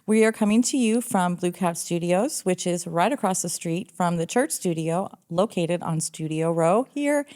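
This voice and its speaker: A woman hosting a podcast in a small recording studio, friendly and quick to laugh. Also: lowish voice, animated, darkish tone. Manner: friendly, affirming, giggly. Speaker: podcast host. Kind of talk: casual interview chat.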